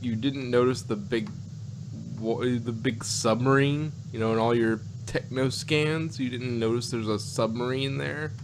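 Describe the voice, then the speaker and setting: A man co-hosting a casual review, talking in a rambling, halting way and acting out imagined reactions. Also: mid-range voice, fairly even intonation, noisy background. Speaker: podcast co-host reviewing audio dramas. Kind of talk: casual unscripted review chat.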